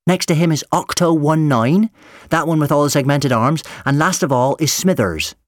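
A man's voice: a little high, moderate pace, no echo, studio quality, pitched above the performer's own voice, lightly acted. A man voicing an eager, chatty young boy who is earnest about his toys, in an audiobook story. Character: eager, bright young boy, a robot-toy enthusiast.

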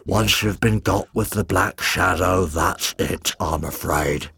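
A man's voice: vocal fry, a bit bright, husky, no room sound, studio quality, exaggerated voice. A man doing a regretful clawed beast. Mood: apologetic. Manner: low, slow, regretful. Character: huge clawed beast-man, apologetic and resigned.

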